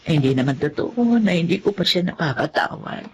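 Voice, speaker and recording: An actress playing an older, remorseful woman in mourning in an emotional radio drama, speaking in a halting, heartfelt way. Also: on the low side, animated, noisy background. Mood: regretful. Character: grieving, remorseful old woman mourning her longtime rival. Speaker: radio drama lead actor. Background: music.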